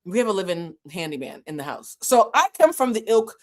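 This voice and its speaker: A woman whose first language is French tells a casual story to her viewers. She is animated and profane, and she talks straight to her audience. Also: mid-range voice, a little fast. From Boston. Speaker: channel host.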